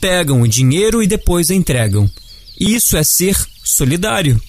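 An actor with a Brazilian accent playing a friendly, caring Easter bunny who explains things patiently in a children's audio drama. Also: male voice, high voice, big pitch swings, normal speed, darkish tone, a little hiss or hum. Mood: patient, explaining. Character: friendly, caring male Easter bunny, gentle and civic-minded.

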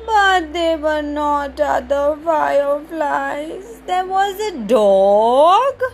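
A woman hosting a children's storytime podcast, reading a picture book aloud in an animated, sing-song way and adding playful sound effects. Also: sits high, extremely slow, faint music behind.

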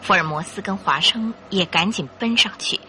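A woman narrator reading a scripted radio drama in an expressive, dramatic storytelling manner. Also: medium pitch, moderate pace, slight background noise, rough recording.

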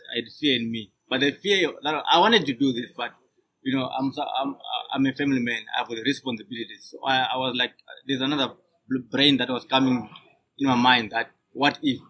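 A man in his thirties speaks as a podcast guest, his voice bright and forward. He talks hesitantly, with frequent restarts and fillers. The talk is recorded outdoors on a sidewalk by a coffee shop. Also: mid-range voice, steady tone, brisk, low-quality recording. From Zimbabwe. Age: 30s.

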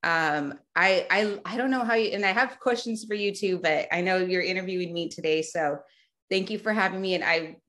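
This is a chatty woman from South Texas, in her thirties, guesting on a podcast. Her voice sits a little low for a woman. She talks loosely, restarting her sentences and padding them with fillers. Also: moderate pace, a bit bright. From Rio Grande Valley, South Texas. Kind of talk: casual remote interview.

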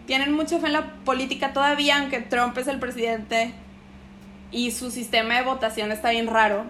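A woman's voice: fairly high pitch, fairly quick, a little hiss or hum. A young Mexican woman with a Mexican accent, chatting casually as an interview guest, full of slang and acting out what others said. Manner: slangy, animated, quotes others in voices.

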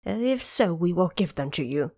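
A woman's voice: fairly low pitch, lively intonation, normal speed, warm tone, no echo. A woman voicing an anxious, courteous old male dragon king in an audio drama, pleading and hesitant. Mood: frightened, begging.

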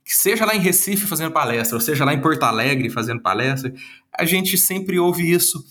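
A man's voice: fairly high pitch, expressive, brisk, a bit bright. A man from Minas Gerais, Brazil, with the accent of the region, answering interview questions in an earnest, lecture-like way and reeling off long lists of words. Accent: Minas Gerais Brazilian Portuguese. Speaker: guest, psychologist and speaker.

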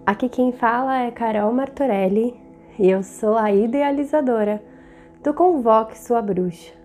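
A woman with a Brazilian accent narrating a guided meditation in a soothing, deliberate manner, in short measured phrases. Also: a little high, warm tone. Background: meditative background music.

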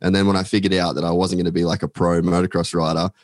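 A man's voice: sits low, little pitch movement, quick pace, no room sound, studio quality. A man talking casually as a podcast guest, rambling with many fillers and casual swearing. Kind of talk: casual chat.

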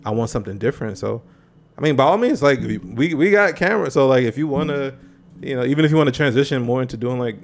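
Brief: young male guest, relaxed mid-pitch voice, reflective, conversational. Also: quick pace. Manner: relaxed, reflective, heavy use of "like". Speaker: guest, actor and filmmaker. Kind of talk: casual interview chat.

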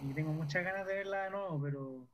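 Eager man, fairly high voice. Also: fast, background noise. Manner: enthusiastic, opinionated fan talk. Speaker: podcast co-host. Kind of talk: casual podcast chat.

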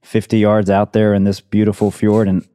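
A man's voice: on the low side, completely flat delivery, normal speed, warm tone, clear, resonant tone, no room sound. Man in his thirties, hesitant and thoughtful. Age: 30s. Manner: thoughtful, hesitant, searching for words. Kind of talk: casual conversation.

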